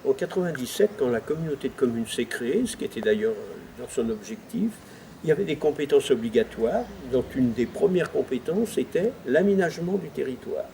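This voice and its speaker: A man's voice: mid-range voice, lively intonation, brisk, gravelly, dark tone, a little hiss or hum, low-quality recording. A man giving interview testimony as a local official, explaining step by step and stressing his key points. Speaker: former president of an intercommunal council, interviewed.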